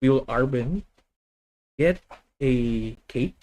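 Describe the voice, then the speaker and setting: A man with a Filipino accent talking through his plays on a live stream. He reasons out loud in a methodical way, often stopping to correct himself mid-sentence. His voice sits at an ordinary middle pitch. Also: expressive, slow. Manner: thinking aloud, methodical, self-correcting. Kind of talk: live deck-test commentary.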